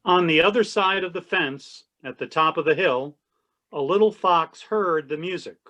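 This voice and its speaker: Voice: mid-range voice, normal speed, pushed and bright. A man narrating a children's storybook aloud in a readers theatre. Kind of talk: storybook reading.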